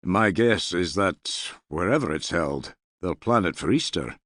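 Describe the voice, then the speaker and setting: A man voicing a sober, loyal Norse counsellor in an audiobook, measured and blunt. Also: deep voice, animated, a bit bright, dry recording, studio quality, heavily acted. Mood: blunt, guarded. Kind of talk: audiobook character dialogue.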